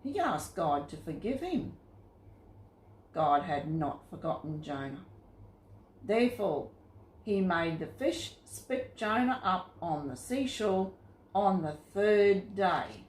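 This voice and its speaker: A woman reading scripted Bible stories to children in a simple, expressive storytelling manner. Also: lowish voice, slow, a little hiss or hum. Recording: roomy, echoing sound.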